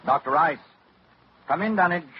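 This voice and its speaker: An actor playing a formal radio announcer who breaks into the broadcast, a little flustered. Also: male voice, a little high, expressive, moderate pace, close to an everyday voice. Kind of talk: 1940s radio drama acting. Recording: dull old radio recording with hiss.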